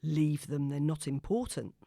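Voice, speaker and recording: A woman doing a smooth, glib, noncommittal man. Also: low-pitched, measured pace, warm tone, no room sound, studio quality, pitched below the performer's own voice, heavily acted. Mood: smooth, flirtatious. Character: smooth, breezy, noncommittal man brushing off his date. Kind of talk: audiobook character dialogue.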